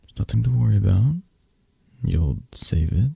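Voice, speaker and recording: A man playing a possessive, flirtatious mafia boss who comforts a lover in intimate ASMR roleplay, murmuring softly and haltingly in a breathy, gravelly voice. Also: on the low side, expressive, no room sound, full character voice. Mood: tender, soothing. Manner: slow, soft, halting, soothing murmur.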